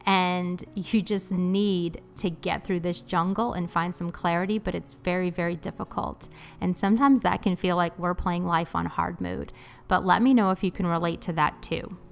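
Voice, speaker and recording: A woman's voice: on the low side, fairly even intonation, moderate pace, vocal fry, a little hiss or hum. A woman in her thirties giving an earnest, encouraging voice-over for her cleaning vlog. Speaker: cleaning vlogger.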